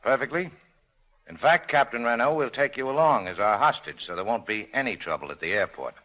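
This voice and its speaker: A man's voice: mid-range voice, a little fast, pushed and bright, faint music behind, lightly acted, poor audio. A male actor playing a cynical but self-sacrificing American nightclub owner in a 1940s radio drama, with a clipped, tough, terse delivery. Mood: hard, commanding.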